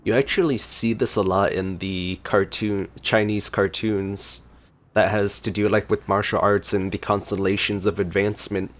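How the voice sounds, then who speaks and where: A man's voice: fairly low pitch, steady tone, moderate pace, slight background noise. A man giving casual, chatty gameplay reaction commentary as a streamer, talking to his viewers. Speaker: gaming streamer.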